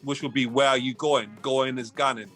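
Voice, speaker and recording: Animated Geordie man chatting. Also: mid-range voice, normal speed, faint music behind. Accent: Geordie (Newcastle). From Newcastle, England. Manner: animated, repeats himself, swears casually. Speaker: guest. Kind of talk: casual podcast chat.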